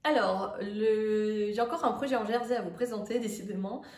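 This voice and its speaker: A woman with a stuffy nose from a cold, chatting casually as a sewing vlogger and pausing often with fillers. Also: mid-range voice, darkish tone. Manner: chatty, hesitant with many fillers. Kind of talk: casual vlog chat. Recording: roomy, distant from the mic.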